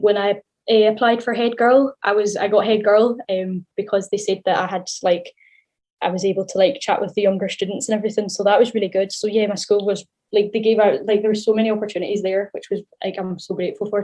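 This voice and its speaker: A woman's voice: medium pitch, little pitch movement, a little fast, a little mellow. A young woman in her early twenties from southwest Scotland, with a Scottish accent that carries a slight Irish lilt, chatting casually and upbeat as a podcast guest. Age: early 20s. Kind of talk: casual interview chat.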